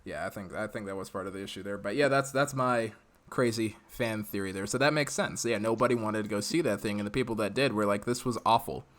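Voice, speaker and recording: A man's voice: fairly low pitch, fast, fairly bright tone. An American man hosting a live podcast, chatting casually and giving his opinions in a conversational way. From United States. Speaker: podcast host.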